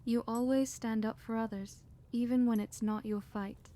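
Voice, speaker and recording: A dreamy, gentle schoolgirl, acted by a woman, with a mellow, slightly high voice. She speaks softly and affectionately, in audio drama style. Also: fairly even intonation, moderate pace, lightly acted. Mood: tender. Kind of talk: fan audio drama acting.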